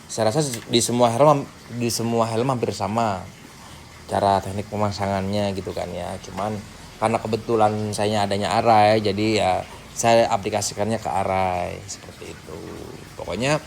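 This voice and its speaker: A man's voice: fairly low pitch, moderate pace. A man giving a casual hands-on tutorial, informally talking through each step as he works. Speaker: tutorial host. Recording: clearly noisy background.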